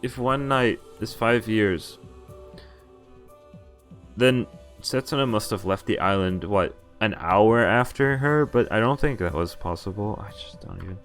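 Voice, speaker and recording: A man streaming a visual novel, reading the text aloud and breaking off haltingly into muttered casual commentary. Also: fairly low pitch, fairly bright tone, faint music behind. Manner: halting, often pausing to mutter asides. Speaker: game streamer.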